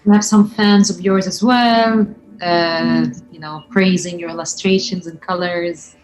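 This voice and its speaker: A woman's voice: fairly low pitch, fairly even intonation, a little slow, a little mellow, faint music behind, rough recording. A woman hosting a livestream, asking an illustrator questions over a video call in an upbeat, casual way with many fillers. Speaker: livestream host. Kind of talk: casual interview chat. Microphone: video call from home.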